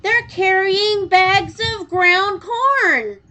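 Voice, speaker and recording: A woman's voice: very high-pitched, very slow, sharp tone, pitched above the performer's own voice, full character voice. A woman voicing an eager, admiring young camel in a childlike, earnest way for a storybook. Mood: excited, curious.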